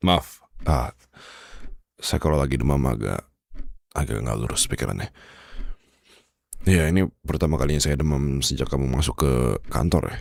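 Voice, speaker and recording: Guy acting as stern indonesian office boss with a fever, super deep voice, dry close sound, asmr roleplay. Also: gravelly, a little mellow, no echo, exaggerated voice. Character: stern, demanding, proud male office boss, sick with fever.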